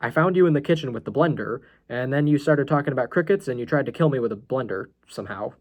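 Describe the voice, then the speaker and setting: A man's voice: mid-range voice, lively intonation, fast, natural voice. A man voicing an anxious schoolboy, frightened yet defiant, with an exasperated and blunt manner, in a story reading. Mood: uneasy.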